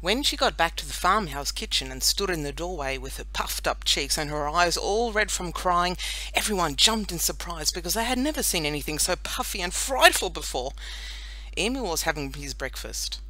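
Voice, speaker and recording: Bright, lively woman reading a children's story. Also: lowish voice, poor audio. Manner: expressive, deliberate storytelling. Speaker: audiobook reader. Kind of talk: scripted children's story narration.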